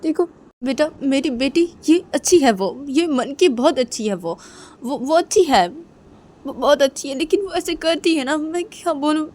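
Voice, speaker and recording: A woman's voice: high voice, lively intonation, darkish tone, voice pushed up. A woman voicing an anxious middle-aged mother who pleads tearfully and repeats her entreaties. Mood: anxious, pleading. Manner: repetitive, imploring, drawn-out pleas.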